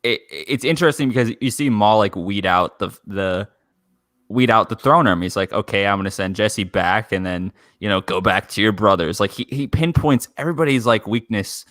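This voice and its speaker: A man co-hosting a fan podcast, talking casually in a halting, rambling way as he searches for words. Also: on the low side, fairly bright tone, no echo, studio quality. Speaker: podcast co-host.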